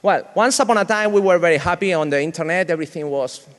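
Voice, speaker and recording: A man from Spain with a Spanish accent, whose first language is Spanish, giving a humorous conference talk in a halting, joking way with many restarts. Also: a little high. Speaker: conference speaker. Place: large conference hall. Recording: reverberant hall sound.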